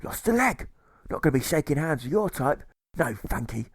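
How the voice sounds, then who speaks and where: A volatile old sailor turned jailer from an audiobook, with a stage pirate accent. The voice is pushed high and creaky, swinging widely in pitch. He blusters and barks in salty, exclamatory slang. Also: sing-song, moderate pace, no room sound, studio quality, full character voice. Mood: menacing.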